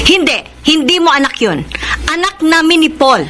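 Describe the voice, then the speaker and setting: High-voiced, bitter, defiant Filipino mother. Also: expressive, measured pace. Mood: defiant, scornful. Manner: emotional, scornful, emphatic. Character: bitter, defiant single mother confronting her former lover. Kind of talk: Filipino radio drama acting. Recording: loud music bed behind voice.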